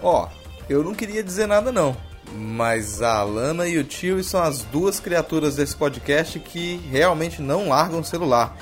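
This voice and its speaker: High-voiced Brazilian man hosting a podcast. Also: lively intonation, moderate pace. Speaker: podcast host; teacher. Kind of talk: informal scripted podcast narration. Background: music.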